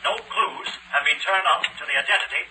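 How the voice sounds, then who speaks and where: Formal urgent 1940s news announcer, high-pitched, radio-set effect, roomy hissy recording. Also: male voice, brisk, distant mic. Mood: urgent, grave. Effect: heard through a radio set. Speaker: radio drama actor.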